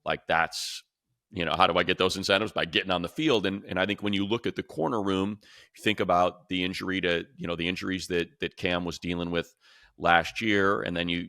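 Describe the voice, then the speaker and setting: A man's voice: low-pitched, steady tone, a little fast, bright, forceful tone, no echo, clean studio sound. An American man co-hosting a sports talk show, explaining things in a casual, conversational way. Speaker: sports talk show host and play-by-play announcer.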